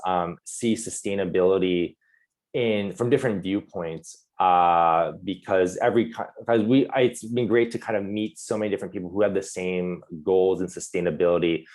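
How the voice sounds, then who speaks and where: A young man speaking casually as a webinar panelist over a video call, halting with many fillers and restarts. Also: low-pitched, darkish tone.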